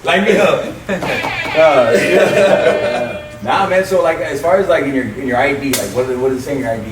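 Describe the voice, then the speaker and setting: A thirty-year-old man hosting a podcast in casual, slangy banter, animated, swearing and often repeating himself. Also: fairly high pitch, moderate pace, over loud music. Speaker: podcast host. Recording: noisy echoey room, distant mic.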